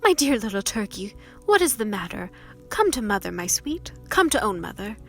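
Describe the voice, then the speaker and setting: A woman's voice: mid-range voice, big pitch swings, moderate pace, faint music behind. A woman voicing a loving mother of small children in a storybook, tender and earnest. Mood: concerned. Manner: tender, gentle.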